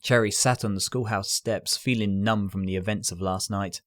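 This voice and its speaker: A man reading a scripted fan fiction story as its narrator, with soft guitar music behind him. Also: fairly low pitch, steady tone, normal speed.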